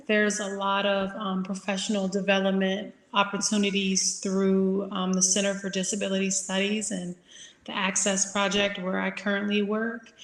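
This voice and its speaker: Midwestern lady guest on a webinar, flat even voice, lots of ums, echoey video call. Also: mid-range voice. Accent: Midwestern American. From American Midwest. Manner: relaxed, informal with many fillers. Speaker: guest speaker. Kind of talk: webinar talk. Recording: roomy video-call audio.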